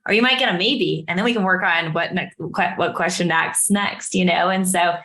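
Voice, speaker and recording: A woman co-hosting a podcast in casual interview chat, animated and acting out her own reactions as she tells stories. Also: lowish voice, normal speed. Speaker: podcast co-host.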